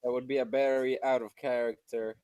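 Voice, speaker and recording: A man's voice: mid-range voice, little pitch movement, fairly quick, dark tone, clear, resonant tone, no room sound, highs cut off. A man bantering casually as a player at a tabletop game.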